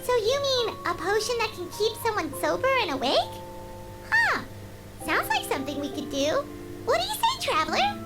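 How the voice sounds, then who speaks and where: A woman voicing a tiny, excitable, childlike fairy companion in a video game, chirpy and squeaky. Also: normal speed. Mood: keen. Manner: speaks of herself in third person, chirpy. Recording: game music behind the voice.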